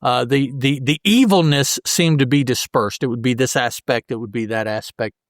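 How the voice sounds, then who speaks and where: A man's voice: medium pitch, normal speed, dry recording. Man casually chatting about comics, dry sound. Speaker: podcast co-host. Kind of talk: casual comic book discussion.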